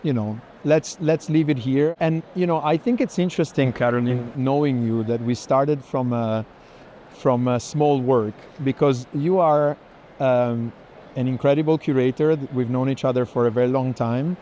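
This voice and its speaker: A man's voice: medium pitch, normal speed, dark tone. A man hosting a walking art conversation, explaining things in a discursive way. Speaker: curator hosting a walking tour. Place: busy art fair. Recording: clear urban ambient noise.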